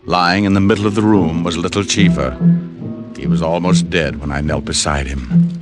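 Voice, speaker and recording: Low-voiced wry American private eye, played by an actor. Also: male voice, fairly even intonation, moderate pace, over loud music, lightly acted. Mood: wry narration. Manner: wry, clipped, pushy. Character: hard-boiled American private detective, wry, persistent, cool under pressure. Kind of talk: old-time radio detective drama, first-person narration and dialogue.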